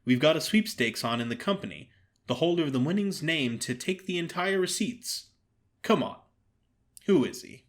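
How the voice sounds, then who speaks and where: Guy doing a chatty pushy theater type for an audio drama, lively swoopy delivery, teasing and emphatic. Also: a little high, normal speed, close to an everyday voice. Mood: insistent. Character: breezy, cajoling theatrical man, teasing and persistent.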